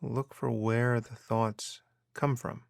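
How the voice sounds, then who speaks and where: A man guiding a meditation as a teacher, giving measured instructions with unhurried pauses. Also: medium pitch, little pitch movement, gravelly, no room sound, clean studio sound. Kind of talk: guided meditation narration.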